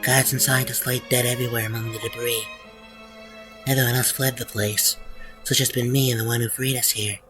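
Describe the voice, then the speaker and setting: Earnest, gentle young hedgehog played by a man. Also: mid-range voice, flat delivery, fairly quick, natural voice. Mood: somber, remembering. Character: gentle, hopeful young male Lunarian hedgehog, former captive. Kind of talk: comic dub voice acting. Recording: prominent background music.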